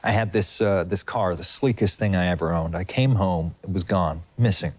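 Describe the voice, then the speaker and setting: A middle-aged male racing boss in a video game. His voice is husky and recorded very dry. He is proud and folksy, telling stories with wry, boastful digs. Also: low-pitched, normal speed, dry recording. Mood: wry, needling. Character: proud older racing-team boss, needling, boastful uncle.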